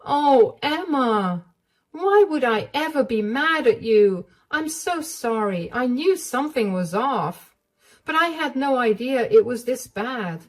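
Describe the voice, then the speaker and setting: A woman voicing a caring, protective older mother-in-law, warm and reassuring but fiercely indignant on her behalf. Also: on the high side, expressive, slow pace, pitched above the performer's own voice. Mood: soothing.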